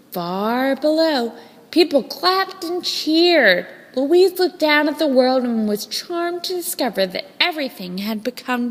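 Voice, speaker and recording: A woman reading a children's story aloud in a dramatic, deliberate storytelling manner. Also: sits high. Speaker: storybook reader. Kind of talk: scripted children's story narration, read aloud expressively. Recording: long hall-like reverb.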